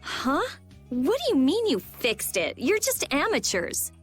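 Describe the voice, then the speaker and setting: Bossy teen girl mage from a game, high lively voice, curt and sarcastic. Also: unhurried, soft background music, full character voice. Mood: skeptical. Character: blunt, prickly teenage girl mage. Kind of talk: English video game dub acting.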